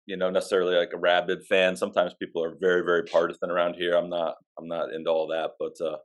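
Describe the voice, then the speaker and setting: A man's voice: low voice, monotone, fast. A man, an athletic director from Pennsylvania, chatting casually in a podcast interview, easygoing and rambling with fillers.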